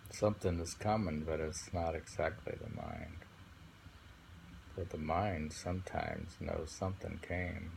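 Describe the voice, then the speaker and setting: A man with a deep, creaky voice reads poetry and reflects on it informally. He takes his time, pausing to muse as he goes. The amateur recording carries faint noise. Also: wildly swooping pitch, darkish tone. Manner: contemplative, pausing to muse between phrases. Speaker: poetry reader and commentator. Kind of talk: slow poetry reading with informal reflective commentary.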